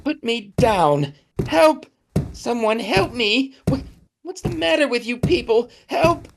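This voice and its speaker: Bitter accuser for audio drama, woman playing: confrontational, slow and animated delivery, clearly noisy sound. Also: on the high side, heavily acted. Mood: panicked. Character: bitter, hot-headed accuser who threatens to call police. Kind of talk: audio play acting.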